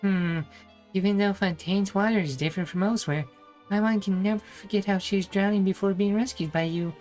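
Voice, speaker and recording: A tiny childlike fairy sidekick from a video game, played by a woman, cute and excitable with a greedy streak. The delivery is full of exclamation, with faint music playing behind it. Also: mid-range voice, brisk, natural voice. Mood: hesitant, trusting. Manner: childlike, exclaiming, speaks of self in third person.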